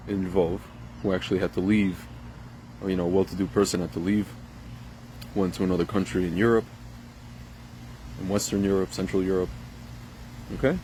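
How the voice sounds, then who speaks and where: A man's voice: deep voice, level tone, normal speed, long pauses, dark tone, slight background noise. A man born in Ukraine talking casually to his viewers, rambling with fillers and restarts. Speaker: vlogger. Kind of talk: casual opinion monologue to camera.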